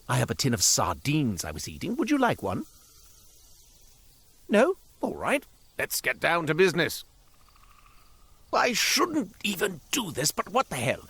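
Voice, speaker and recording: A flamboyant, devilish peddler from a video game, grandiose and wheedling. His delivery is theatrical and florid, leaping wildly in pitch at a leisurely pace. The sound is dry and close, with the polish of a salesman's patter. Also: wildly swooping pitch, a little slow, pauses often, a bit bright, husky, dry recording, heavily acted. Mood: ingratiating, brisk. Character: flamboyant devilish roadside curio merchant, male, wheedling and grandiose. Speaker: merchant.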